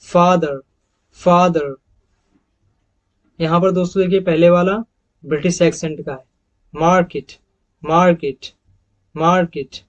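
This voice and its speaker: A man's voice: sits high, steady tone, slow, pauses often. A man with an Indian accent teaching English phonetics over slides, repeating each model word several times and calling his viewers friends. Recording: dull lo-fi recording.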